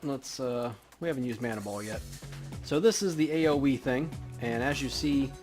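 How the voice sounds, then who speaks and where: A man with a medium-pitched voice gives casual commentary as he plays a video game. Faint game music sits behind him. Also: normal speed, darkish tone. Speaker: gaming video host. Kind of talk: casual let's play commentary.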